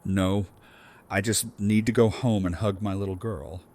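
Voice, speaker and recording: A man playing a grieving, gentle father in an audio drama, speaking in a subdued, sad way. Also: on the low side, steady tone, a little slow, close to an everyday voice. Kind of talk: fan audio drama acting.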